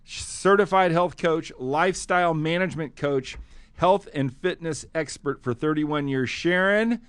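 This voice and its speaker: A man's voice: on the high side, a little slow, clear, resonant tone, no echo. A man hosting a motivational podcast, with an enthusiastic, punchy delivery. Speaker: podcast host.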